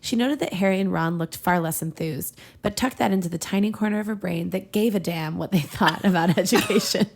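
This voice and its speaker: A woman's voice: lowish voice, brisk, creaky, darkish tone. A woman co-hosting a podcast, reading fan fiction aloud with casual, giggly banter. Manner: giggly, breaking into laughter mid-reading. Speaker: podcast co-host and reader.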